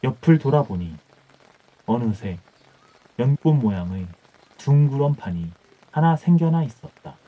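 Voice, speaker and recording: A man's voice: medium pitch, lively intonation, a little slow, pauses often. A man reading an old folktale as scripted bedtime audiobook narration. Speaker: audiobook storyteller. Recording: dull muffled audio with music.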